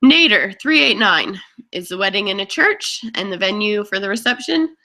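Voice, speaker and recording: A woman's voice: medium pitch, expressive, normal speed, pushed and bright, rough recording. A woman in her mid-twenties co-hosting a casual live Q&A, reading out viewer questions in a chatty, teasing way. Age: 20s.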